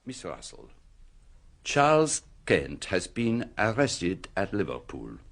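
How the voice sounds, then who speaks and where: An actor in a radio drama playing a shrewd, courteous detective, formal, deliberate and slyly knowing. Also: male voice, low-pitched, huge pitch swings, gravelly, a bit bright, exaggerated voice. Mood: probing, firm. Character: shrewd, courteous, self-satisfied Belgian detective.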